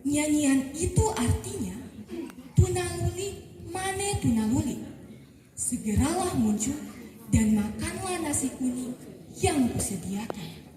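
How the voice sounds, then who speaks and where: A teenage girl from eastern Indonesia telling a folktale in a slow, careful, sing-song storytelling voice. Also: high-pitched, expressive, recorded from a distance, crowd noise. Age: teens. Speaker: student storyteller. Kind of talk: folktale narration. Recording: echoey room, voice set back from the mic. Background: music playing behind the voice.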